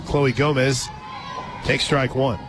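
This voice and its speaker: A man doing live radio softball play-by-play, dropping conversational asides between pitches. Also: level tone, takes it slowly. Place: radio booth at an outdoor ballpark. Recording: outdoor stadium crowd noise, loud music. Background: loud crowd and ballpark music.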